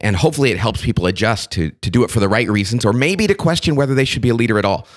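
Male podcast host, nursing a cold, relaxed and self-deprecating, conversational. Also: mid-range voice, very fast. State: has a cold. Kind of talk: casual podcast chat.

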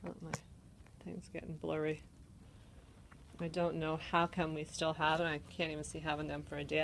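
Low, slow-talking Canadian woman. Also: slight background noise. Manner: chatty, listing items and prices. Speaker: shopper filming an antique mall booth. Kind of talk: casual walk-through narration. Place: indoor antique mall. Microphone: handheld phone camera.

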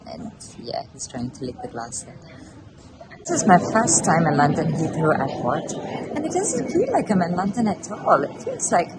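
A woman's voice: deep voice, sing-song, moderate pace. A woman in her thirties chatting casually to a handheld camera as a travel vlogger. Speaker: vlogger, mother of a toddler. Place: busy airport terminal. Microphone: camera held at arm's length. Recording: clear ambient travel noise behind.

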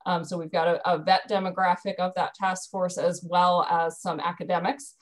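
A woman's voice: fairly low pitch, little pitch movement, moderate pace. A woman in her thirties explaining a dairy program on a video call from home, earnest, with frequent ums and restarts. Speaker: dairy program staff presenter. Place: bedroom at home. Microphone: video call webcam.

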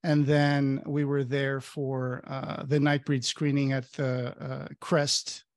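A man's voice: mid-range voice, flat delivery, no room sound, studio quality. A man from Portugal whose first language is Portuguese, co-hosting a casual interview over a video call with headphones on. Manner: friendly, quick agreeing backchannels. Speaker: podcast co-host. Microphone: headphones and webcam on video call.

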